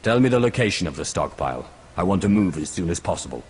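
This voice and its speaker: An actor playing a cold, imperious Sith lord in a video game, speaking in a curt, authoritative way. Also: male voice, sits low, big pitch swings, quick pace, darkish tone, slight background noise. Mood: commanding. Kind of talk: video game cutscene acting. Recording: music behind.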